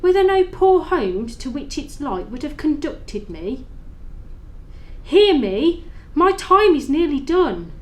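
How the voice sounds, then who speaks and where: A woman's voice: high voice, sing-song, slow, a little mellow, exaggerated voice. A woman playing the mournful, tormented ghost of a dead business partner, speaking solemnly in an audio play with wailing laments. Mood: remorseful, pleading. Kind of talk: library audio play acting.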